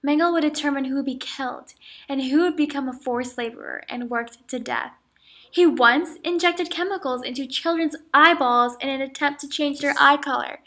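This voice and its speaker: A woman's voice: sits high, fairly bright tone. A young woman playing a female camp survivor in a student mock interview, reciting her account as if reading a script. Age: young adult. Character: woman camp survivor and twin, grave and matter-of-fact. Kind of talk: amateur mock interview role-play.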